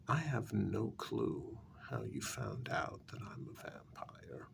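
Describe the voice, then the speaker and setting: Man acting a slow, gravelly, soft-spoken vampire. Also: fairly low pitch, slight background noise, full character voice. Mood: exasperated. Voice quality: breathy, half-whispered, gravelly. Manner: deliberate, halting, formally polite menace. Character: urbane, weary, menacing centuries-old male vampire. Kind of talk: soft-spoken ASMR role-play.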